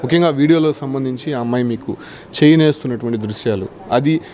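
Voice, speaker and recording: A man's voice: mid-range voice, fast, a little mellow. A man putting probing questions as a news interviewer, in formal, wordy phrasing.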